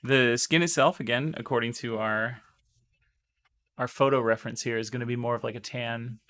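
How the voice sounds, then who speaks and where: Young male streamer, hoarse and losing his voice, upbeat, explains painting steps while chatting to viewers. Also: medium pitch, fairly even intonation, moderate pace, fairly bright tone. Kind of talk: live-stream painting tutorial.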